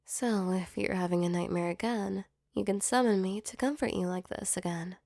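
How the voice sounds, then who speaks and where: A woman voicing a gentle, protective female demon in a soft, soothing ASMR comfort roleplay. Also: medium pitch, moderate pace, creaky, dry recording, studio quality, natural voice. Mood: sympathetic, caring. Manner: soothing, tender, reassuring.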